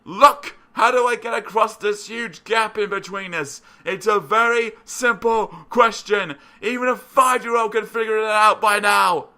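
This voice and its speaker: A man's voice: sits high, a little slow, hardly any pauses, sharp tone, voice pushed up, heavily acted. A man voicing a grumpy, sarcastic male cartoon character who grumbles dryly and is easily exasperated.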